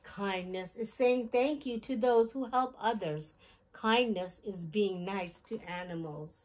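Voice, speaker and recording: A middle-aged woman hosting a children's storytime, reading a picture book aloud in simple, deliberate phrases and putting questions to her young listeners. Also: medium pitch. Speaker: children's storytime host and reader. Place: small decorated indoor room.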